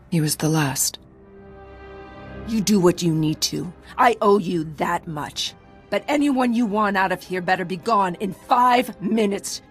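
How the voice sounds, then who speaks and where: A woman's voice: medium pitch, lightly acted. An actress playing a stern, curt Mandalorian warrior woman in a video game, commanding and grim. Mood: grim, vengeful.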